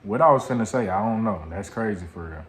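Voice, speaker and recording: Low-voiced American man in a roomy space. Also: lively intonation, fast. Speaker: reaction video host. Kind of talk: casual joking reaction commentary. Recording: roomy echo, some background noise.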